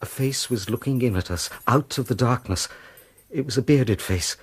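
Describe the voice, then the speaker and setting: A man acting in a radio drama as a nervous, talkative gentleman, telling his tale in a formal, fussy, precise way. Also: mid-range voice, normal speed, slightly rough. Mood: grave, haunted.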